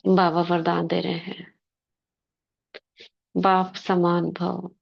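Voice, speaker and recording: A woman leading a guided spiritual meditation, speaking in short, measured devotional phrases with pauses between them. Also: lowish voice, completely flat delivery, vocal fry, dull audio. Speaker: meditation leader.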